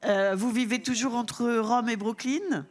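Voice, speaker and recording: A woman's voice: fairly high pitch, measured pace. A woman co-moderating a panel, introducing a guest with hesitations. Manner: hesitant, informal.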